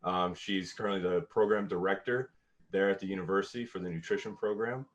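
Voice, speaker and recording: A man with a very low voice introduces a guest in a brisk way. The recording sounds dull and lo-fi. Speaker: podcast host introducing guest.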